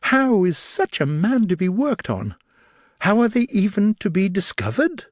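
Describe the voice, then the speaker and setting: A man's voice: sing-song, a little slow, dry recording, clean studio sound, heavily acted. A man voicing a frantic, tearful young lady. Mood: anguished. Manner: urgent, pleading, high and breaking. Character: distraught young gentlewoman, anxious and tearful.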